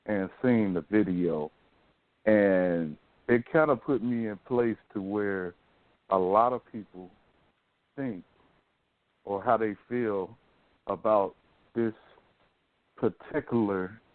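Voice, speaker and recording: A man's voice: on the low side, slow, pauses often, no echo, phone-quality sound. A man with an American accent hosting a talk show, chatting casually in informal slang. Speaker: radio show host. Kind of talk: casual talk radio chat.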